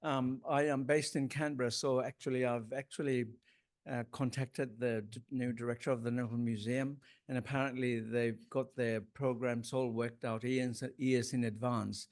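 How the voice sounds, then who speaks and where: Panelist, man, agreeable and casual, mid pitch, dry close sound. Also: little pitch movement, normal speed, a little mellow, no room sound.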